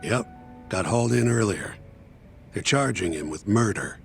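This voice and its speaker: Gravelly, blunt lawyer. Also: male voice, on the low side, lively intonation, moderate pace, soft background music. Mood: matter-of-fact. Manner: blunt, colloquial, matter-of-fact. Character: brisk, pragmatic, unsentimental male defense lawyer. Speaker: video game voice actor. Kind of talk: English game dub dialogue.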